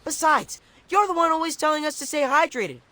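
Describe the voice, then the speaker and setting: An actor playing a nerdy, earnest teenage mutant turtle boy, wordy and animated, in a video game cartoon. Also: very high-pitched, brisk, no room sound. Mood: earnest pleading.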